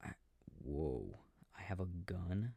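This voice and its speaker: A man softly rambling to himself as he plays a video game for a sleep aid, often dropping into whispers, with a breathy, gravelly voice close to the mic. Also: low voice, big pitch swings, takes it slowly. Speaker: audio creator playing a video game. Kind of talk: soft rambling gameplay talk for a sleep aid. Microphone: close, dry microphone.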